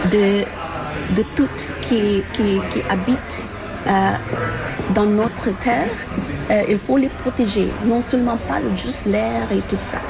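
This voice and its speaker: Slow, gravelly woman. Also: mid-range voice, poor audio. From Philippines. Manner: halting, many fillers and restarts, mixes in English terms. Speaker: conference participant. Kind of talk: interview answer. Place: climate event at a university.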